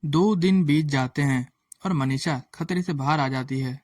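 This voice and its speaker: A man's voice: a little high, unhurried. A man narrating a scripted moral story as a storyteller. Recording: faint background music.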